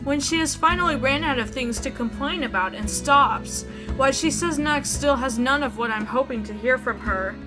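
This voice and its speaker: A woman with a fairly high voice hosts a visual novel playthrough. She reads the story narration aloud and comments casually, with clear music playing behind her. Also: normal speed. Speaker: video game streamer.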